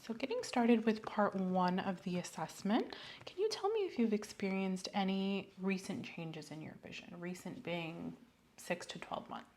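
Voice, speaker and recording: A soft-spoken woman playing a doctor in an ASMR medical roleplay, asking methodical questions in a reassuring, apologetic way. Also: mid-range voice, lively intonation, normal speed, slight background noise. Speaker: ASMR host playing a doctor giving an eye exam.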